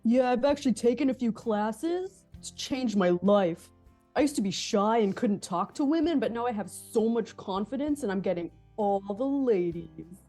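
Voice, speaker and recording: A man's voice: high-pitched, animated, normal speed, warm tone, faint music behind. A man playing a formerly shy, now confident bar patron in an amateur table read. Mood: self-satisfied.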